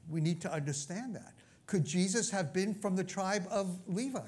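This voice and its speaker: A male preacher with a Brooklyn accent, speaking in a slightly higher register than most men. He teaches through scripture in a loose, conversational way. He often stops to check in with his listeners. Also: normal speed, a little mellow. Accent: New York (Brooklyn). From Brooklyn, New York. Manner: conversational, frequent asides and check-ins. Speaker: pastor. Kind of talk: sermon teaching.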